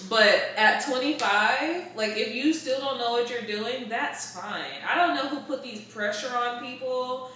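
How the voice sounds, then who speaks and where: A woman's voice: a little high, a little slow, pushed and bright. A woman in her late twenties in a casual advice chat, blunt and emphatic, with informal slangy wording. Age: late 20s. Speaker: podcast host. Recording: roomy echo, distant mic, faint noise.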